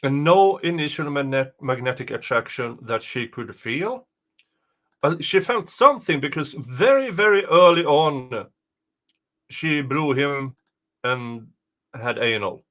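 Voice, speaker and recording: A man with a slightly high, bright voice and an unhurried pace. He reads aloud casually and adds deadpan, halting comments as a co-host. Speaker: co-host reading and reacting to a document.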